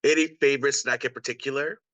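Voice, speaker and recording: A man with a bright, crisp-edged voice hosts an online event. He is enthusiastic and welcoming as he introduces guests and asks them questions. The sound is dry, with little room in it. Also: mid-range voice, fairly even intonation, fast, dry recording. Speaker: co-host, artistic producer. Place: indoors on a video call.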